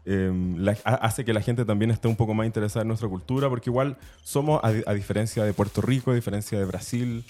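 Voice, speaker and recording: Chilean male podcast co-host, mellow tone, slangy and chatty, soft music underneath. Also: lowish voice, fairly even intonation, quick pace, hardly any pauses. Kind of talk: casual podcast chat.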